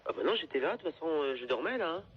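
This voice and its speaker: A man's voice: on the high side, sing-song, very fast. A young adult man from France in a casual phone conversation, speaking earnestly. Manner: earnest, repeatedly denying. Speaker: prank call victim. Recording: noisy, dull phone line.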